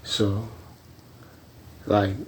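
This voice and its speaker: A man's voice: fairly low pitch, monotone. Rambling American man in his forties. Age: 40s. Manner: meandering, slangy, halting. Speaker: vlogger. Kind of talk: rambling casual chat.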